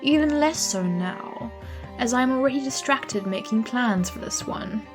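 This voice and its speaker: An actress playing a haughty, impatient immortal who toys with mortals in an audio drama, arch and imperious. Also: female voice, a little high, animated, unhurried, over music. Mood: amused, scornful.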